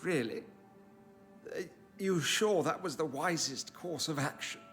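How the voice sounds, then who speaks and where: A man's voice: a little high, expressive, measured pace. An actor playing a badly injured male physician in a video game, earnest and evasive, his voice strained. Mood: wary, strained. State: strained, gravely hurt. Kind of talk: dramatic video game acting. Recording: dramatic music behind.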